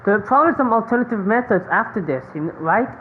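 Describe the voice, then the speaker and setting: Halting Filipino woman streamer, phone-like sound. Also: medium pitch, expressive. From Philippines. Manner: rambling, halting, repeats phrases. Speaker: game streamer. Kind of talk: casual game playthrough commentary. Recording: phone-like narrow sound, slight noise.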